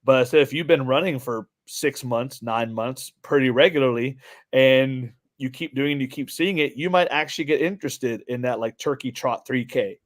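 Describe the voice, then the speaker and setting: A man speaks as a podcast co-host in a voice of middling pitch. His delivery is conversational and rambling, looping through what he said to people as he tells it. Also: moderate pace. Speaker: podcast co-host, fitness coach. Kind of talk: casual conversational storytelling.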